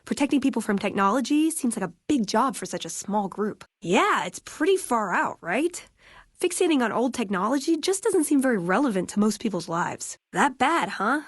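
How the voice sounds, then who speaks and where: A woman's voice: fairly high pitch, very animated, moderate pace, husky, no room sound, studio quality. An actress playing a friendly, wry young American woman in a video game, with casual, playful, sardonic conversational delivery. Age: young adult. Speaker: video game companion character. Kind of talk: scripted game dialogue, conversational.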